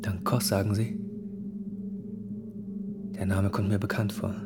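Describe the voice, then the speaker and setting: A man's voice: on the low side, little pitch movement, a little slow, over loud music. An actor playing an embittered, agitated male reporter held prisoner, in a German radio drama. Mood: indignant.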